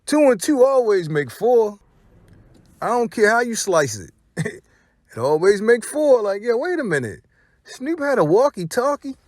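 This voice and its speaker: Animated slangy man commentating, fairly high voice. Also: measured pace, no room sound, studio quality. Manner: slangy, profane, emphatic with repeated phrases. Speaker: online show host. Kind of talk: informal opinionated hip-hop commentary.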